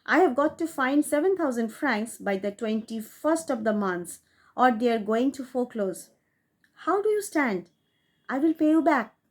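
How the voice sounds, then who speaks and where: A woman's voice: a little high, expressive, normal speed. A woman doing a desperate indebted man. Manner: pleading, distressed. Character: desperate man in debt begging his brother.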